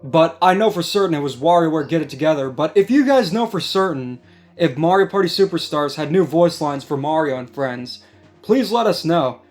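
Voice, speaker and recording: A man in a casual discussion, explaining things in a measured way as a co-host. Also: on the high side, expressive, a little mellow. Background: background music.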